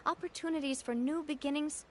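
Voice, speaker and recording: A woman voicing a confident young female leader in a video game, firm but fair, poised and authoritative, speaking deliberately. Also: sits high, fairly even intonation, darkish tone, a little hiss or hum, lightly acted. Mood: earnest, composed. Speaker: game character voice actor. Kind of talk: scripted video game dialogue.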